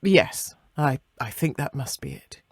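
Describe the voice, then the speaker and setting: A woman voicing a fussy, puzzled scholarly gentleman in a storybook, prim and hesitant in manner. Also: a bass voice, expressive, a little slow, vocal fry, husky, dry recording, clean studio sound, pitched below the performer's own voice, heavily acted.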